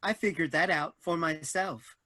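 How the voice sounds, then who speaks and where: A woman's voice: sits low, moderate pace, sharp tone, no room sound. Woman as an officious robot assistant, dry sound. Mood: mechanical. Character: officious, literal-minded robot assistant, snippy. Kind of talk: staged play reading.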